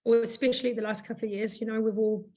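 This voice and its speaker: A woman with a hoarse voice, a business founder chatting in an interview, rambling with many fillers and restarts. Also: on the high side, level tone, fast, mellow. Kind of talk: casual interview chat.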